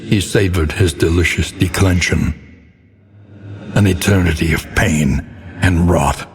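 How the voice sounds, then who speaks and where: A man's voice: deep voice, slightly rough, long reverb tail, exaggerated voice. A man playing a grim, brooding game narrator, telling gothic horror tales in a grave, theatrical, ornate manner. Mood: fervent, ominous. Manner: grave, ornate, deliberately dramatic delivery.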